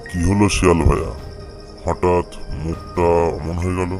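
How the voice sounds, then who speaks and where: A man playing a deep, gruff cartoon tiger. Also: level tone, moderate pace, a little mellow, faint music behind. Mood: concerned. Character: gruff, gullible, quick-tempered male tiger in a fable. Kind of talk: cartoon animal fable acting.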